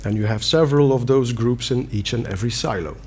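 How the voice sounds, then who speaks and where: Brief: middle-aged Belgian man, Dutch-accented, mid-pitched, earnest conference speaker, slight hiss behind. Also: a little fast. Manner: earnest, plain wording with fillers and restarts. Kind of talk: conference talk. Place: conference hall.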